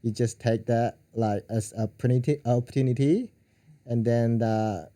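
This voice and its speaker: A man in his thirties from China, speaking English with a Chinese accent, halting and searching for words as a podcast guest. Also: mid-range voice, fairly even intonation, mellow. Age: 30s.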